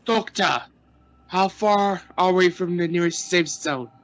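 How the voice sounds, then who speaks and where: High, sharp-voiced exasperated expedition crewman played by a man. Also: slow, muffled recording, full character voice. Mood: anxious. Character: Lee, exasperated, bossy, nervous expedition crewman. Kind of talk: sci-fi radio play acting.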